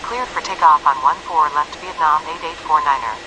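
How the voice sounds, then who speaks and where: A man's voice: fairly high pitch, fairly quick, background noise, natural voice. A man playing a procedural pilot or controller in a flight game, speaking in clipped aviation radio phraseology. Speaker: pilot and air traffic radio voice in a simulation game.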